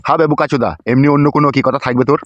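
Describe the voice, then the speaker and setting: A man's voice: medium pitch, fairly even intonation, very fast, no echo, dull audio, natural voice. A man playing a coarse, scolding, suspicious middle-aged village father in a comedy cartoon. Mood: irritated.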